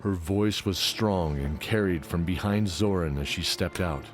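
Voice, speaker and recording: A man's voice: deep voice, measured pace. A man narrating a scripted fantasy story as the narrator of an audio drama. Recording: music under the voice.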